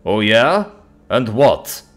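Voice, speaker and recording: Casting: indignant bullying space traveller, played by a narrator man, loud bluster, animated pitch, sci-fi audio story. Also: takes it slowly, heavily acted. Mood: blustering threat. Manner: loud, confrontational.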